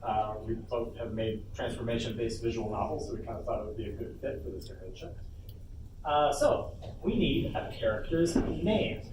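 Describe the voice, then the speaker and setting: A man hosting an informal convention workshop, speaking casually with hesitant pauses as he explains things to the room. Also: medium pitch. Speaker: panel host and presenter. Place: indoor convention panel room. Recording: roomy, distant mic, noisy convention room.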